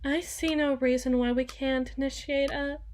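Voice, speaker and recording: A woman playing a cool, possessive demon in an ASMR roleplay, with formal, deliberate, theatrical phrasing. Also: sits high, flat delivery, a little mellow, a little hiss or hum, natural voice. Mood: sly, formal. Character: cool, possessive female demon proposing a marriage contract. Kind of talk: ASMR roleplay, scripted dramatic monologue.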